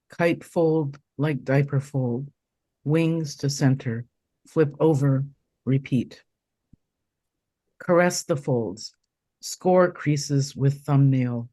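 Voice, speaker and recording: Slow hoarse woman poet, dry sound. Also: rumbling low, mellow, no echo. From Taiwan. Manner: slow, deliberate recitation with pauses. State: hoarse, getting over a cold. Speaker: poet reading her work. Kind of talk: poetry reading. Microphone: video call.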